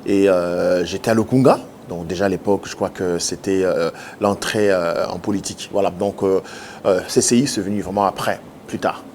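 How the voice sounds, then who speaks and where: Earnest low-voiced man in his fifties. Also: fairly even intonation, a little mellow, a little hiss or hum. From Democratic Republic of the Congo. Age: 50s. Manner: earnest, halting, many filler sounds and restarts. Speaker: politician guest. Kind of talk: TV interview answers.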